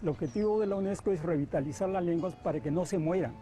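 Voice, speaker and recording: A man with a slightly high voice gives a formal, explanatory answer. Loud music almost covers his voice. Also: fast talker.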